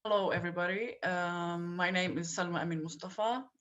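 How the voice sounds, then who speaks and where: A woman's voice: fairly low pitch, fairly even intonation, fairly quick, a bit bright, dull audio. A woman in her twenties from northern Palestine, talking on an online panel with many fillers. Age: 20s. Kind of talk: online event talk. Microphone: video call.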